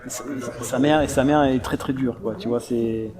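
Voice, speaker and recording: A man's voice: mid-range voice, fairly even intonation, normal speed. A young man, an actor, chatting casually in an interview, rambling with many restarts and laughs. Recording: crowd chatter behind.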